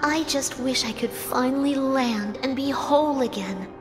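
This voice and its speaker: Sweet young game hedgehog heroine, very high voice, loud music underneath. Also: female voice, unhurried. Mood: wistful, relieved. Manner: girlish, expressive, earnest. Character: sweet, earnest young female cartoon hedgehog. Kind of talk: video game cutscene acting.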